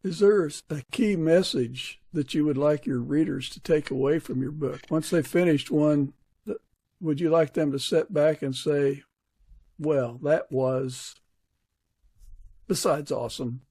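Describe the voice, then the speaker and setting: Man, creaky mellow voice, podcast interviewer, casual conversational delivery. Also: on the high side, unhurried, dry recording.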